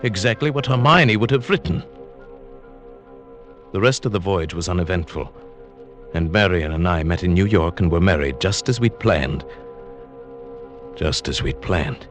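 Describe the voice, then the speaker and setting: A man's voice: deep voice, animated, normal speed, pauses often, a bit bright. A man acting as a smug, fussy, scheming professor in vintage radio suspense, speaking formally and pedantically. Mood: wry, brooding. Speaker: actor and first-person narrator in a radio play. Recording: vintage radio recording with music.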